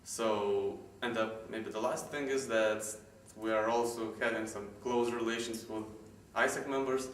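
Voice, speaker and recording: A man, casually pitching an internship with small restarts. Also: measured pace, few pauses. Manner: relaxed, with small restarts and light laughs. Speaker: internship organizer. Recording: echoey room, far from mic, faint noise.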